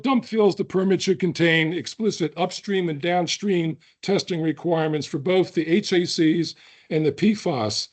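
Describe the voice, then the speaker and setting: A hoarse man who is losing his voice, giving an earnest public comment at a hearing with deliberate pauses and throat clearing. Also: a little high, a little mellow.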